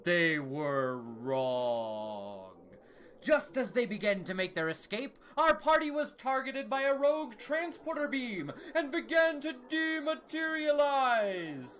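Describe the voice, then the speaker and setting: A woman's voice: a little high, sing-song, slow pace, slight background noise. A woman playing a grandiose, theatrical narrator of a pulpy space adventure audio serial. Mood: grandiose, suspenseful. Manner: dramatic, theatrical announcer delivery. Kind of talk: scripted audio drama narration.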